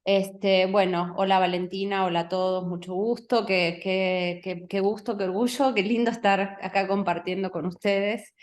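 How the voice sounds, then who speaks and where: A woman director, answering on a video call, thoughtful and searching for words with restarts. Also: mid-range voice. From Mexico. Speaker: film director.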